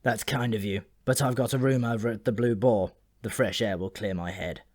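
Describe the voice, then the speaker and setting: A man's voice: fairly low pitch, fairly even intonation, moderate pace, a little mellow, dry recording, close to an everyday voice. Ancient dwarf for a fantasy audiobook , acted by a man: dyspeptic, gloomy, rambling, grudgingly friendly, recorded dry. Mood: weary, complaining.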